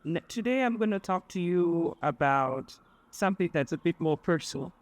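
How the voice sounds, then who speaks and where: A woman from Sudan, giving an earnest, measured speech as a guest speaker, pausing often with fillers. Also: fairly low pitch, expressive. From South Sudan. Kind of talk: public speech at an event.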